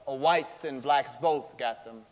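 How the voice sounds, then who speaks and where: A man's voice: medium pitch, measured pace, close to an everyday voice. A young man on stage playing an earnest fifteen-year-old boy answering plainly and respectfully in court testimony. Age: young adult. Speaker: student actor in a staged reading. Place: large theatre stage, indoors. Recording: echoey theatre hall, long reverb.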